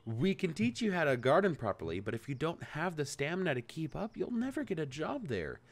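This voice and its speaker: Guy reading brisk farm girl, practical, lively up and down voice. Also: on the high side. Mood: matter-of-fact. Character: practical hardworking young farm woman.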